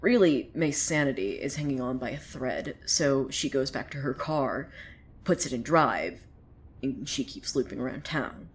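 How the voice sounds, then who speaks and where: A woman's voice: a bass voice, animated, a little slow. A woman playing a sardonic, opinionated storyteller in a fiction podcast, narrating conversationally with gossipy asides. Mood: wry, suspenseful. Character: opinionated, sardonic female storyteller who gossips about townsfolk. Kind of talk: dramatic first-person storytelling.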